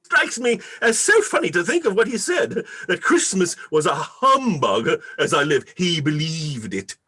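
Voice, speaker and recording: A jolly, forgiving young nephew, played by a man in a radio play. His husky voice leaps around in pitch, hearty and theatrical. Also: very animated, measured pace, sharp tone, heavily acted. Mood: amused, merry. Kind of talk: radio play acting, live reading.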